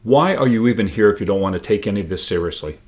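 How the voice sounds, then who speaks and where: A man's voice: on the low side, animated, fast, darkish tone, lightly acted. Man playing teenage girl, earnest organiser, impatient, insistent, exasperated, storybook dialogue.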